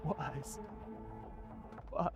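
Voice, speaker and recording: A man's voice: drawn-out words, muffled recording. An actor playing a grieving, guilt-ridden man mourning a friend in a video game. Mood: anguished grief. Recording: loud music over the voice.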